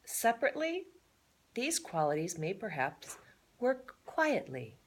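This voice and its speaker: A woman's voice: mid-range voice, sing-song, unhurried, a little hiss or hum. A woman reading a children's story aloud in a theatrical way, adding chatty, playful asides. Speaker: storybook reader.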